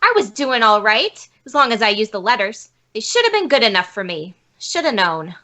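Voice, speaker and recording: A woman's voice: a little high, sing-song, moderate pace, pushed and bright. A woman playing a bitter, indignant forger, a failed actress who poses as an old lady, in a radio police drama. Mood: bitter, defeated.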